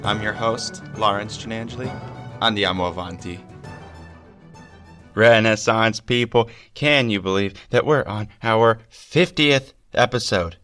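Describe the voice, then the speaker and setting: A man with a fairly low voice hosts a lecture-style podcast, a little gravelly and stuffed up from a cold. He lectures with eager emphasis. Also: measured pace, fairly bright tone, faint music behind. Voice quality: slightly gravelly. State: congested with a cold. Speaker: podcast host. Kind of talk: scripted lecture on art history.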